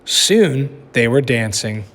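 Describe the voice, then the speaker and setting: A man teacher reading a picture book aloud to children with animated storytelling, then asking them questions about it. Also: medium pitch, slow. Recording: echoey room with long reverb.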